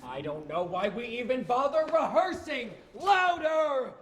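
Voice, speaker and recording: A man's voice: very high voice, sing-song, moderate pace. An actor playing a middle-aged ham performer, grandiloquent and imperious, declaiming as a defiant swordsman poet on stage. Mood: irritated.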